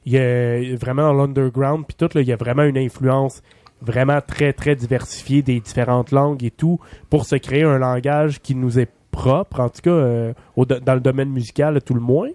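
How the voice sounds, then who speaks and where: A man with a Québécois French accent, a podcast guest speaking with halting enthusiasm. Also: mid-range voice, steady tone, dark tone, dry recording. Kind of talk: casual podcast chat.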